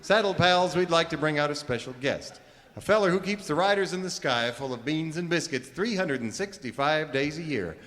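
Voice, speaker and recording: A man's voice: a little high, animated, normal speed, a bit bright. A man hosting a live comic cowboy radio show, playing the folksy straight man. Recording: long hall reverb, music behind.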